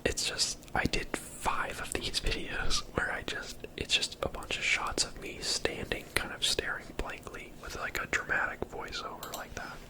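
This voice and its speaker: A man's voice: moderate pace, few pauses, poor audio. Male ASMR creator, whispered throughout; rambling, casual, self-deprecating commentary. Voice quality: soft breathy whisper. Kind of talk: whispered ASMR commentary on own old videos.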